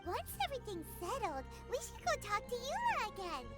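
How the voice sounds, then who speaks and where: Squeaky, bubbly small floating female sidekick; very high, hugely animated, game score underneath. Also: brisk, heavily acted. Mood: curious. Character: tiny floating female companion, chirpy and curious. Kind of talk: video game voice acting. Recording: game dialogue over music.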